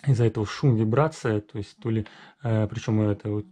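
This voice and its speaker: A man casually walking through a new RC truck in a workshop, talking haltingly with many fillers and self-corrections. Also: lowish voice, steady tone, warm tone. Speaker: RC hobby reviewer. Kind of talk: casual unboxing walkthrough. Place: indoor garage workshop.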